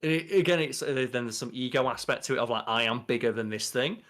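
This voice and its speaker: Casting: man, thirties, mid-pitched voice, casual podcast co-host, blunt and sweary. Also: fairly even intonation, quick pace, a bit bright. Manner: opinionated, sweary, mock-quoting. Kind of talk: casual chat. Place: home, at a desk indoors.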